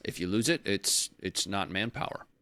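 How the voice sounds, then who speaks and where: A man hosting an interview, talking analytically and haltingly, with many restarts and filler phrases. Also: lowish voice, expressive, sharp tone, dry recording. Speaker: interview host. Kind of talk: casual interview talk.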